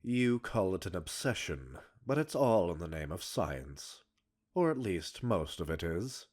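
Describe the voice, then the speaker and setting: A man's voice: low-pitched, very animated, unhurried, darkish tone, full character voice. A man playing a smug, arrogant male professor in an ASMR roleplay, erudite and teasing, flirtatious and condescending as he talks like a scientist. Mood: teasing, intense. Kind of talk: ASMR roleplay audio drama.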